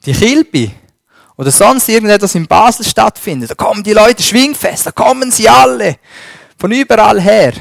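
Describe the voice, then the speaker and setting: A man preaching a sermon and reading scripture, slow and emphatic, swinging between loud stresses and a dropped voice. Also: sits high, lively intonation, creaky, dry recording.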